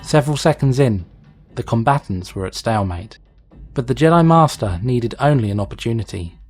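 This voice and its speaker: A man's voice: mid-range voice, lively intonation, a little fast, a little mellow, husky, soft background music. A man reading an action story aloud as narrator, in a dramatic scripted style. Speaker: fan fiction reader, narrator.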